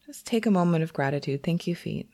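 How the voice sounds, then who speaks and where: A woman leading a guided meditation as a teacher, speaking gently and unhurriedly with long pauses. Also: lowish voice, expressive.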